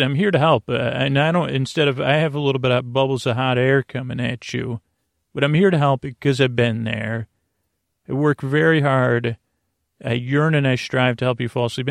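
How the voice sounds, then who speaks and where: A man hosting a sleep podcast, delivering a whimsical bedtime monologue in a halting, meandering way that drifts off into tangents. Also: medium pitch, creaky, dry recording, clean studio sound.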